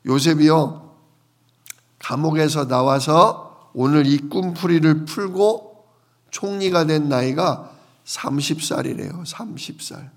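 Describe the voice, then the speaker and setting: A man preaching a conversational Bible sermon as a pastor, explaining things with rhetorical questions in a gravelly voice. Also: fairly high pitch, slow. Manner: explanatory, asks the congregation rhetorical questions and answers them.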